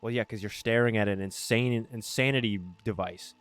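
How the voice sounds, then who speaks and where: A man's voice: on the low side, fairly even intonation, normal speed, clear, resonant tone. A man doing a casual let's play, reading narration aloud and commenting on it, sometimes tripping over his words. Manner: stumbles over words while reading, self-correcting.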